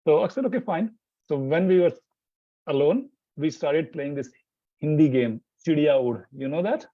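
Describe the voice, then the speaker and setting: A man's voice: on the high side, lively intonation, moderate pace, dark tone, no room sound. Rambling man, muffled sound. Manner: rambling with many restarts and fillers. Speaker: Hindi teacher, interview guest. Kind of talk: casual interview chat.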